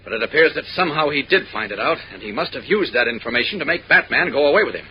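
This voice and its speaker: A man playing a calm, purposeful hero in everyday guise in a 1940s radio serial, brisk, polite and matter-of-fact. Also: mid-range voice, natural voice. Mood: pondering. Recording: dull, faintly noisy 1940s radio recording.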